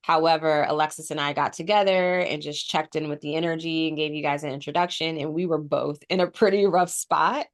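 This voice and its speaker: A woman's voice: sits low, fairly even intonation, brisk, hardly any pauses. A woman co-hosting a casual spiritual podcast, congested from a cold with a sore throat, explaining at length with frequent ums. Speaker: podcast co-host.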